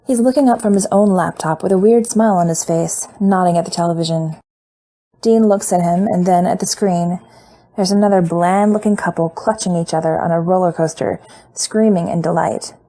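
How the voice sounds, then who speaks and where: A woman reading fan fiction aloud as an audiobook, in a relaxed, conversational narrating style. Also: on the low side, moderate pace, poor audio.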